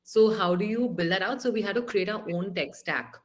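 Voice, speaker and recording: A woman, a founder speaking on a panel over a video call, animated and thinking aloud with frequent restarts. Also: mid-range voice, brisk. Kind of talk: panel discussion.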